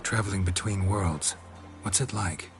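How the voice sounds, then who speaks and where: An actor playing a laconic, weary, guarded monster hunter in video game dialogue, speaking tersely with understatement. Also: male voice, deep voice, unhurried, background noise. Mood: curious, reserved. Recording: music playing behind the voice.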